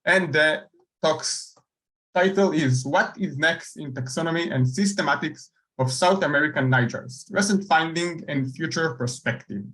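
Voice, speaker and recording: A man chairing a session of an online meeting, introducing speakers in a halting way with many fillers. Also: a little high, fairly bright tone.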